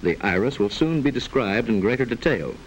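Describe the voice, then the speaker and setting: A man narrates in the formal style of a 1940s educational film. His medium-pitched voice moves through lively rises and falls while staying measured and instructive. A steady layer of noise from the old soundtrack sits under the speech. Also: rough recording. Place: voice-over narration recording.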